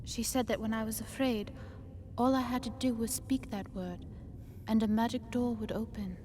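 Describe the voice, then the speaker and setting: A woman voicing a tender, brave young princess in gentle, earnest video game cutscene acting. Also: fairly high pitch, fairly even intonation, moderate pace, lightly acted. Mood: confiding. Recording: faint noisy game ambience behind.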